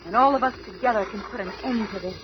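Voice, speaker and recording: A woman's voice: fairly high pitch, fast, close to an everyday voice. A woman playing an earnest, anxious young superhero heroine with dramatic delivery in a 1970s radio drama. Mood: worried, earnest. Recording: echoing, dull, noisy radio recording.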